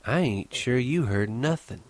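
A man's voice: on the high side, big pitch swings, unhurried, a bit bright, no room sound, voice pushed up. A man putting on a scornful young ranch wife. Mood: cool. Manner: taunting, cold.

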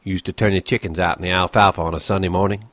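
A man's voice: lowish voice, moderate pace, no echo, studio quality, natural voice. A man voicing a lonely, bitter stable hand in an audiobook, taunting and scornful, brooding in colloquial rural ranch talk. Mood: dreamy.

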